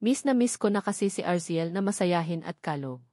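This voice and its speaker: A woman's voice: fairly low pitch, animated, moderate pace, darkish tone, dry recording, studio quality. A woman reading a romance novel aloud in the first person as a scripted narration. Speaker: audiobook narrator.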